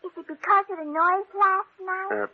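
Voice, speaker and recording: A curious, trusting little girl in a vintage radio play, with an extremely high voice. She asks eager, artless questions, and the recording is dull and muffled. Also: moderate pace. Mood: curious, friendly. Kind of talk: old-time radio drama acting.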